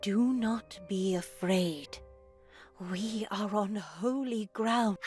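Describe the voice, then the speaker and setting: A woman playing a stern, pious older nun in a horror game, preachy and condescending with quiet menace. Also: mid-range voice, very slow, soft background music. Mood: calm, condescending. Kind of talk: dramatic visual novel voice acting.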